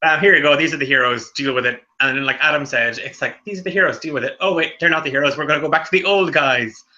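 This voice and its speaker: An Irish man hosting a casual book discussion, talking in halting bursts with many restarts. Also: on the high side, pushed and bright, muffled recording. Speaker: book club host.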